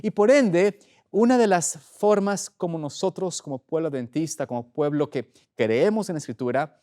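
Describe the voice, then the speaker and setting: A middle-aged man preaching as a pastor in an earnest, deliberate way, pausing for emphasis. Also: fairly high pitch, darkish tone, clear, resonant tone. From Ecuador. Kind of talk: sermon, opening with prayer.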